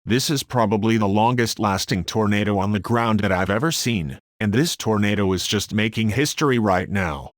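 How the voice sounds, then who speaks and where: Guy playing a breaking news anchor, lowish voice, really dry sound. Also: animated, normal speed, hardly any pauses, no room sound, natural voice. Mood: earnest. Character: male live tornado news anchor.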